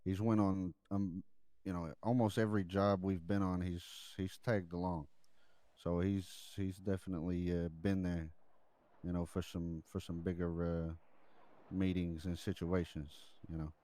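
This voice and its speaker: A man playing a deferential mobster reporting to his boss in improvised crime role-play, halting and repeating words as he goes. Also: low voice, flat delivery, warm tone, no echo, close to an everyday voice. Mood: defensive, loyal. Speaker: role-play gamer playing a mob underling.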